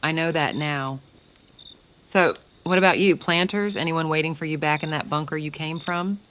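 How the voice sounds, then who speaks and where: A woman's voice: low voice, fairly even intonation, normal speed, noisy background, close to an everyday voice. A woman playing a frank, world-weary young mother in an audio comic, candid and rueful. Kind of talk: audio comic dramatic acting.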